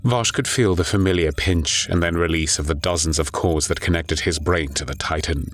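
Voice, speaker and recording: A man giving grave, deliberate, dramatic narration of a scripted audio story. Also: low-pitched, slight background noise. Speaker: narrator and voice performer.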